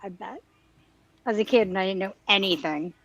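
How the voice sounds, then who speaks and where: A woman's voice: mid-range voice, normal speed, fairly bright tone. An enthusiastic woman chatting casually as podcast co-host. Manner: bubbly, enthusiastic. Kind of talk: casual chat.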